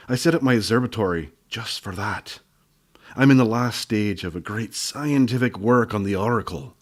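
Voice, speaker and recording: A man's voice: on the low side, natural voice. A man doing a pompous little gnome professor. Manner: pompous, self-important, grumbling.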